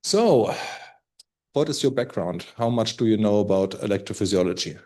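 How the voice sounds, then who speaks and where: Low-voiced German man leading a workshop. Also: lively intonation, moderate pace, darkish tone. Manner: loose, thinking aloud with fillers. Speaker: workshop course leader. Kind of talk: relaxed spoken introduction to a live workshop. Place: conference room.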